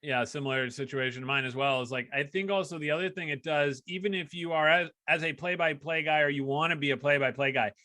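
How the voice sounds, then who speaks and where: A man's voice: a little high, fast, hardly any pauses, sharp tone, no room sound, clean studio sound. A man hosting a casual interview, chatty and fond of telling anecdotes as a fellow sports broadcaster. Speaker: podcast host and hockey broadcaster.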